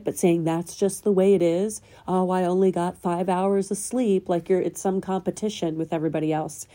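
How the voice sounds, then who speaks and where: Female podcast host, slightly nasal from a cold, very dark mellow tone, earnest self-help monologue. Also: medium pitch. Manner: earnest, probing rhetorical questions. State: getting over a cold.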